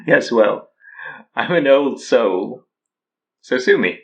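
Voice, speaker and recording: A man's voice: medium pitch, lively intonation, slow, gravelly, dull audio. An actor in his thirties playing an easygoing, wry man who poses as a guru in an audio drama. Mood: wry, tender. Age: 30s. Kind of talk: naturalistic audio drama acting.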